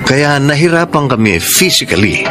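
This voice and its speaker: An actor playing an earnest, devoted rich young boyfriend in a Filipino radio drama, with a sentimental delivery. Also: male voice, a little high, expressive, normal speed, loud music behind the voice, natural voice. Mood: wistful. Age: young adult.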